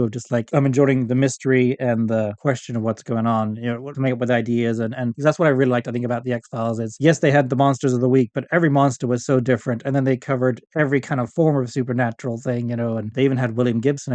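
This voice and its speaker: A man's voice: mid-range voice, darkish tone, no echo. A middle-aged man chatting casually as a podcast guest, telling stories in a rambling way with quoted asides. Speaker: podcast guest, audio fiction writer and creator. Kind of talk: casual interview chat.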